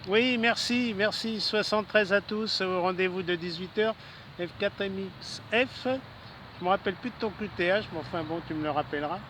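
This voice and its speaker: A man's voice: sits high, normal speed, fairly bright tone. A man chatting casually as an amateur radio operator in a friendly way, speaking outdoors in a city. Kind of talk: casual ham radio exchange. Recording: outdoor city ambience and traffic noise.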